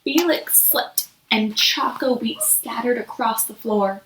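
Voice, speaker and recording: A woman's voice: on the high side, slow pace, a bit bright, a little hiss or hum. A woman reads a children's picture book aloud expressively, putting questions to young listeners. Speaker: storybook reader. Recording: echoey room.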